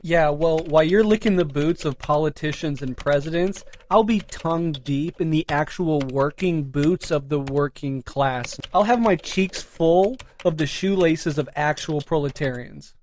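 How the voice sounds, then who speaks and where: A man performs an indignant anarchist online debater in a comic radio play. His voice sits a bit high and he rants with sneering sarcasm. Faint noise and typing clacks run through the recording. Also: a little slow, few pauses, close to an everyday voice. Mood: mocking. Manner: sarcastic, foul-mouthed ranting. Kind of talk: satirical radio theater sketch.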